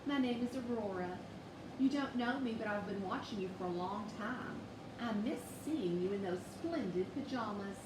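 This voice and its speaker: A woman voicing a kindly, admiring female Arctic fox in a storybook, gentle and reassuring. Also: medium pitch, expressive, moderate pace, roomy sound, recorded from a distance, noisy background, close to an everyday voice. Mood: friendly.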